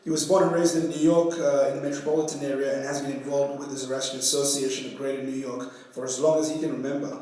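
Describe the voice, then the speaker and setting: A man moderating a conference session, introducing the next speaker with halting ums and uhs. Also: mid-range voice, level tone, mellow. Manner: halting, filler-heavy. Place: large conference hall. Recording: echoey hall from far off.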